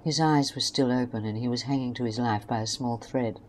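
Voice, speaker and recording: A woman's voice: very deep voice, fairly even intonation, brisk, a little hiss or hum. A woman reading scripted story narration for an amateur audio drama.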